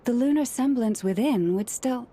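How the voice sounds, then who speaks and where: A woman playing a gentle, composed young woman in a video game, with measured, articulate and gently wry delivery. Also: a little high, expressive, slight background noise, close to an everyday voice. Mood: reasoning. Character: gentle, composed young woman who understands animal speech.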